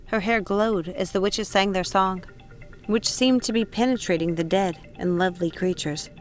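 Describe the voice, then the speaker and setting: A woman reading an audiobook as a dramatic, suspenseful narrator. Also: medium pitch. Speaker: audiobook reader. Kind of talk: dramatic scripted story narration.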